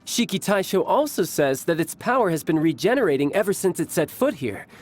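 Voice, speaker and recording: A man playing a suave young warrior in an anime game, smooth, theatrical and playfully teasing. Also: high voice, big pitch swings, fairly quick, a little mellow, faint music behind. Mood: curious, genial.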